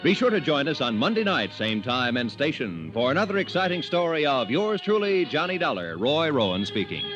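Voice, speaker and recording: A man's voice: on the high side, sing-song, fairly quick, few pauses. An American man reading scripted credits as an announcer in vintage radio style. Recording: dull vintage radio recording. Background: prominent theme music.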